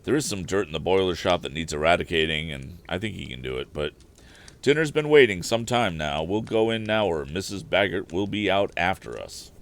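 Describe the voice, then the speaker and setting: A genial, absent-minded middle-aged inventor and father from an adventure storybook, voiced by a man. He speaks low and expressively, with a warm, questioning manner. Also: expressive, a little fast. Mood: genial, curious.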